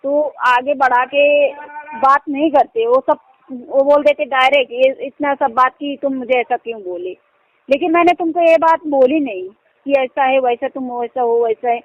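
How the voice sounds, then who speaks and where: A woman's voice: sits high, normal speed, no room sound. A woman talking casually and insistently on a private phone call, often checking that the listener understands her. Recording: muffled lo-fi phone call recording.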